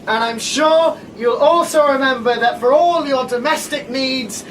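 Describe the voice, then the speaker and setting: A woman's voice: high voice, unhurried. A woman playing an apologetic, officious supermarket staffer addressing customers in a comedic audio drama. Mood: apologetic, announcing. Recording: distant from mic, faint noise.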